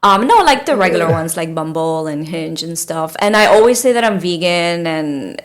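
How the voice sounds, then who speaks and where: A woman's voice: fairly low pitch, normal speed. A woman from Spain with a Spanish accent, a guest chatting and telling dating stories on a podcast. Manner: animated, laughing storyteller. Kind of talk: casual podcast chat.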